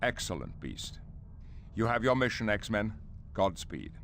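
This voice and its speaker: A man playing a calm older mentor of a hero academy in a game cutscene, speaking formally and with authority. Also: fairly low pitch, unhurried, a little hiss or hum, heavily acted. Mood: grave. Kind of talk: video game cutscene acting. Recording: music behind the voice.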